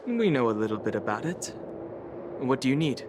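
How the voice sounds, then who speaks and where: A man voicing a calm, reserved young companion in a video game, measured and understated. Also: mid-range voice, noisy background, lightly acted. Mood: composed. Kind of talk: video game character dialogue. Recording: music behind the voice.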